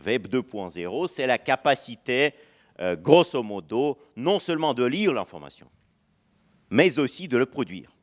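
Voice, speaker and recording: A man giving a conference lecture in a language that is not his first, pausing often with fillers and restarting sentences. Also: medium pitch, very animated. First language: not French. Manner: hesitant, many "euh" fillers and restarts. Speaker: university lecturer. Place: lecture hall.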